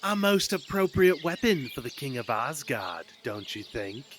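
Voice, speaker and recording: A man playing a sly, smooth-talking trickster god in a fantasy audio drama, with theatrical flourish. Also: mid-range voice, very animated, a little slow, fairly bright tone, a little hiss or hum. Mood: smooth flattery.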